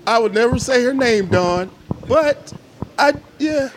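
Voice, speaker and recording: A man's voice: very high voice, animated, unhurried, noisy background. A middle-aged man, a podcast guest telling loose, joking, profane stories in casual chat. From Atlanta, Georgia. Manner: laid-back, joking, profane storytelling.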